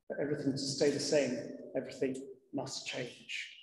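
Vicar preaching in an echoing church. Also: male voice, mid-range voice, flat delivery, normal speed, a little mellow. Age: middle-aged. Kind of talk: sermon to a congregation. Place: large church interior, indoors. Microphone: lectern gooseneck microphone. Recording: echoey church hall with long reverb.

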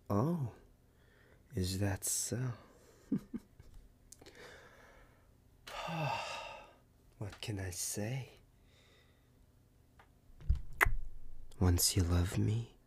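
A man voicing a possessive, smug werewolf alpha in an ASMR roleplay, husky and breathy, often whispering, with teasing deliberate pauses. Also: on the low side, expressive, a little mellow, full character voice. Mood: possessive, coaxing.

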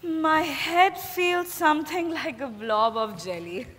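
A woman's voice: sits high, slow, a bit bright. An Indian-accented woman playing a troubled, idealistic, easily wounded daughter in a staged drama reading. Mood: distressed. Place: large hotel ballroom stage. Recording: reverberant hall, noisy room ambience.

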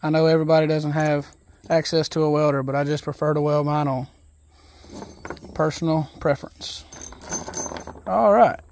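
A man casually walking through an assembly job outdoors, reading steps aloud and thinking out loud in a relaxed, folksy way, recorded on a phone camera held close. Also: on the high side, moderate pace, long pauses, slight background noise. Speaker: DIY video host. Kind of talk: casual step-by-step assembly walkthrough. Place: outdoors on a wooden deck.

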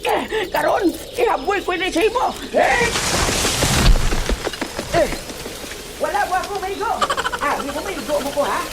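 Woman voicing old man, high-pitched, frantic, narrating own actions, comic drama, loud background noise. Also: animated, moderate pace, long pauses. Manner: exclamatory, talks through each action aloud. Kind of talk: comic audio drama acting.

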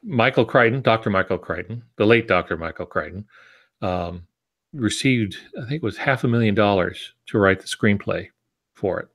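A man's voice: fairly low pitch, moderate pace, fairly bright tone. An American man in his sixties teaching informally with asides, talking on a video call from a home office. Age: 60s. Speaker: writing teacher, podcast co-host. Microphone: wired earbuds on a video call.

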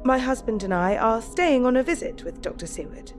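An actress playing a gracious, self-possessed young wife in an audio drama, speaking politely and formally. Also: female voice, on the high side, expressive, a little fast, faint music behind, natural voice. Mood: polite, composed.

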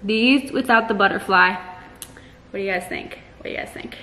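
A woman's voice: mid-range voice, normal speed, pushed and bright, echoey room, a little hiss or hum. A young woman in her twenties chatting casually and bubbly in a home vlog, picked up on a camera mic across the room. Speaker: vlogger. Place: bedroom and living room at home.

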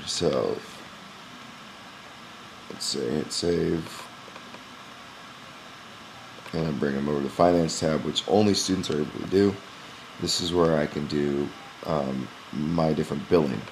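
A young man who runs a gym, walking through a software screen recording in a casual, explanatory way with frequent "um" pauses, recorded on a webcam at his desk. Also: extremely low pitch, slight background noise, rough recording. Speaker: gym owner demonstrating software. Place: small indoor room at a computer. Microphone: webcam in front of the face.

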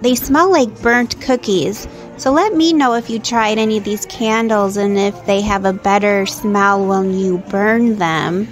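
A woman's voice: medium pitch, measured pace. A middle-aged woman filming a chatty shopping vlog on her phone in a large indoor mall, talking to her viewers. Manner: chatty and excited, addresses viewers. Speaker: vlogger. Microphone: phone at arm's length, selfie. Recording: background music over phone audio. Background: background music.

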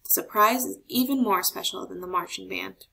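A patient mother from a children's storybook, read by a woman. She answers her child reassuringly in a measured, mid-pitched voice with noticeable creak. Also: bright, forceful tone, heavily acted, poor audio.

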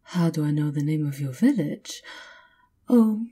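A low-voiced vampire countess, acted by a woman, aristocratic and possessive. She speaks very slowly in a dark, breathy purr, mocking and seductive, often sinking toward a whisper as in an intimate ASMR roleplay. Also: animated, exaggerated voice. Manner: slow, theatrical, taunting purr, often dropping to whispers.

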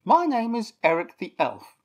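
A woman voicing a gentle, courteous male elf who speaks formally. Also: on the high side, huge pitch swings, moderate pace, lo-fi sound, voice pushed up. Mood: polite.

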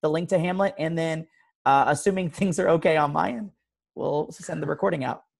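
A man's voice: a little high, a little fast, vocal fry. A young man speaking casually as an organizer wrapping up an online meeting through a headset mic. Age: young adult. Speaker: session organizer. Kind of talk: casual meeting wrap-up talk. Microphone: headset mic on a video call.

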